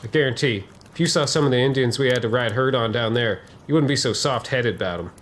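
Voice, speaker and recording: A man's voice: medium pitch, quick pace, fairly bright tone, close to an everyday voice. A man playing an obedient, unsympathetic cavalry private in a historical drama, talking in dismissive, folksy frontier phrases.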